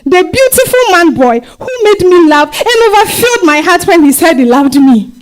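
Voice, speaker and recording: A woman's voice: very high-pitched, sing-song, normal speed. A woman with a Ghanaian accent acting a defiant, exasperated wife and mother in a dramatized stage reading. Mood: wistful, aggrieved. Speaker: stage actor.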